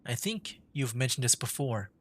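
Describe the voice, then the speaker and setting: A man's voice: medium pitch, expressive, moderate pace, a bit bright, slight background noise. A man streaming a video game with casual live commentary, musing and often trailing off mid-thought. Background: game music and sound.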